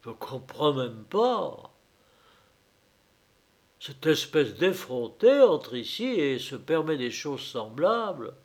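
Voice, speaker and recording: A man's voice: on the high side, big pitch swings, slow, full character voice. Man doing a sputtering offended treasurer. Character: flustered crimson-faced male treasurer.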